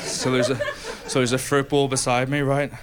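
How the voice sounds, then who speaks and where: A young man telling a stand-up comedy story to a live audience, with self-deprecating, deadpan asides. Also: mid-range voice, normal speed.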